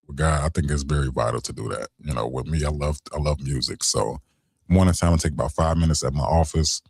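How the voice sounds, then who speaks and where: A young adult man, hosting a late-night radio show in a laid-back, conversational way and giving shout-outs. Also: fast talker, vocal fry, breathy edge, no echo, studio quality. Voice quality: deep voice.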